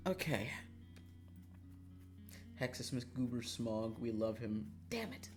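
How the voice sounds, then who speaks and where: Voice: mid-range voice, very animated, slow, a little mellow, faint music behind. A streamer chatting casually on a livestream in a joking, self-mocking, dramatic way, swearing freely.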